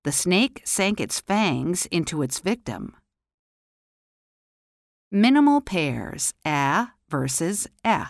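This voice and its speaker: A woman teaching pronunciation, carefully enunciating single words and example sentences in a slow, deliberate drill. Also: lowish voice, big pitch swings, gravelly, no room sound, studio quality.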